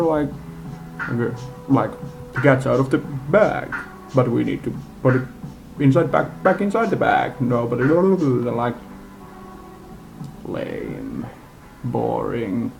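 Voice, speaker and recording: A man giving an unscripted, casual movie-review rant in a halting, blunt way, in a homemade recording. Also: mid-range voice, expressive, creaky, a little mellow, rough recording. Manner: halting, rambling delivery. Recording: homemade, radio music playing behind. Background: music from a live radio.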